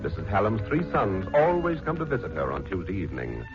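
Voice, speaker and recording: A man's voice: deep voice, wildly swooping pitch, a little fast. A man giving scripted narration for an old radio drama. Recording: muffled 1940s radio broadcast. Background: music.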